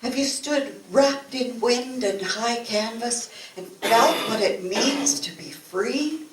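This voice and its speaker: An older woman reciting poetry expressively at a community event, with measured, dramatic phrasing. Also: fairly high pitch. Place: event room, recorded from a distance. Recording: echoey, noisy room, distant microphone.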